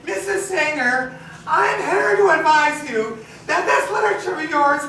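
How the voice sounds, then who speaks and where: A woman acts out an officious, bumbling lawman on stage. The voice is high and stiffly formal, far from the mic in an echoey theater. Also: animated, normal speed, fairly bright tone. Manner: stumbling, formal. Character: officious, bumbling male government agent. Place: small theater, live staged reading. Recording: echoey theater, distant mic, faint noise.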